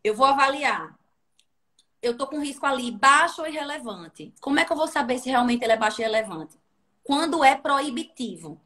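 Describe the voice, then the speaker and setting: A woman with a Sergipe accent teaches in an animated, instructive way, often pausing to check that her listeners are following. Her voice is bright and sits fairly high. She speaks as a guest labor inspector on a livestream. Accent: Sergipe, northeastern Brazilian. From Sergipe, Brazil.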